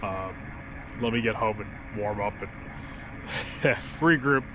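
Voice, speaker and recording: A man filming a casual fishing vlog outdoors in winter, sounding stuffy from a cold, talking in a rambling, relaxed way with small chuckles. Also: on the low side, takes it slowly. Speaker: fishing vlogger. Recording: outdoor recording with clear background noise.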